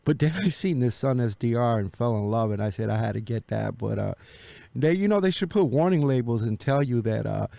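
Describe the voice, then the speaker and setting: A man with plenty of vocal fry talks in a dry indoor space with little room sound. He is a hobby radio operator from the United States, casual and easygoing, feeling his way through each sentence. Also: medium pitch, lively intonation, fairly quick, mellow, no room sound. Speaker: amateur radio operator, video host.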